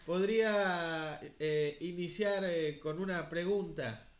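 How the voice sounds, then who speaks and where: A man's voice: a little high, takes it slowly, echoey room, muffled recording. A man hosting an interview, asking questions with a hesitant, filler-heavy manner.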